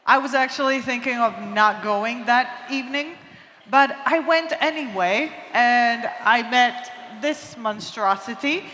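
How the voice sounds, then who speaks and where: A young woman from Croatia speaks unhurriedly, with dry, teasing remarks. Her voice is high and bright. It echoes through a large hall over a faint background hiss. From Croatia, Split. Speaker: convention panelist. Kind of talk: casual convention talk. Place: convention lecture hall. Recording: reverberant hall with faint noise.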